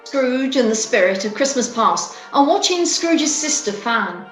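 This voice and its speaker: High-voiced woman storyteller in echoey room. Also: expressive, measured pace, a bit bright, soft background music. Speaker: narrator. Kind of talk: scripted story narration. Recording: roomy echo.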